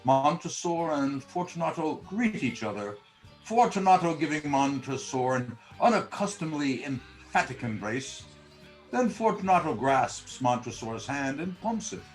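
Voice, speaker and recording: A man's voice: a little high, sing-song, a little slow, soft background music, rough recording. A man narrating a period tale as a scripted dramatic storyteller.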